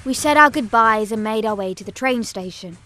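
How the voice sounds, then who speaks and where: A woman playing an eager young Punjabi village girl, telling her story in expressive first-person dramatic narration. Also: fairly high pitch, a little fast, faint music behind. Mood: lively.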